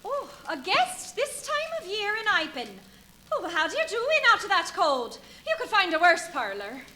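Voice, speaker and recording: Shrill, fussy innkeeper's wife. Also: female voice, huge pitch swings, normal speed, sharp tone, exaggerated voice. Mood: eager, hospitable. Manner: gossipy, exclamatory, deferential to guests, scolding the maid. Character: chatty, fussy, bossy English village innkeeper's wife. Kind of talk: live radio play acting.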